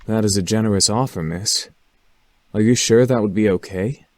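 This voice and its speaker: Man playing a low-voiced, polite gentleman. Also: normal speed, close to an everyday voice. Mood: courteous. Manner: polite, formal. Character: courteous, considerate, formal young male incubus.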